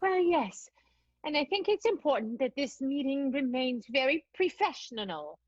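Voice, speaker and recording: An actress playing a prim, businesslike 1920s vaudeville theatre leader in an improvised comic radio play, on a dull lo-fi recording. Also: female voice, high-pitched, big pitch swings, normal speed, no room sound. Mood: stiffly professional. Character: prim female vaudeville theatre leader, former high-wire performer.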